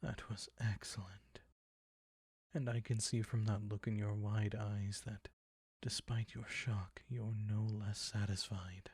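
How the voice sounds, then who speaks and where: Man acting a low-pitched seductive vampire count, very breathy and husky, predatory, possessive, silky teasing, intimate audio roleplay. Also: little pitch movement, a little mellow, no echo, clean studio sound, full character voice. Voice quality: husky, breathy, often near a whisper. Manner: slow, deliberate, silky teasing, commanding.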